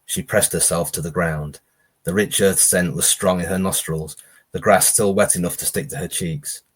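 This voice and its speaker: A man's voice: low-pitched, steady tone, normal speed, husky. A man who is an author, giving a live reading of his own pulpy horror story.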